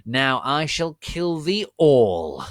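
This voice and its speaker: A man voicing a menacing, megalomaniac dark wizard lord who makes pompous, drawn-out archaic proclamations in a dramatic reading. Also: medium pitch, big pitch swings, no room sound, studio quality, pitched above the performer's own voice. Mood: menacing, contemptuous.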